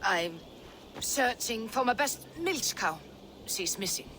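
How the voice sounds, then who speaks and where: A woman's voice: on the high side, animated, slow pace, background noise. An actress voicing a wary, shaken Saxon peasant woman in a video game. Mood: wary, accusing. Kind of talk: dramatic video game acting.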